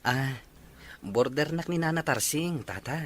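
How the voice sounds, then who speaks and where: A man's voice: mid-range voice, expressive, measured pace. A man playing an earnest, polite young suitor in an audio drama. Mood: respectful. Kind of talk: Ilocano audio drama acting.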